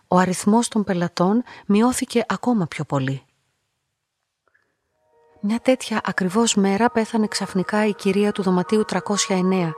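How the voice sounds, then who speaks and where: An actress playing a lonely, quietly curious young woman in a radio play, telling her story in a measured, introspective way. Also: medium pitch, natural voice. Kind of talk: literary radio play narration and dialogue.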